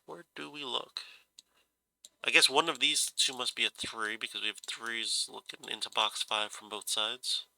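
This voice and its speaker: A man's voice: medium pitch, fairly even intonation, moderate pace, creaky, pushed and bright, dry recording. A man with a stuffy nose and a slightly scratchy voice from a cold, musing hesitantly as he talks himself through a puzzle and corrects himself. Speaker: puzzle solver. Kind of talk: casual thinking-aloud puzzle solving.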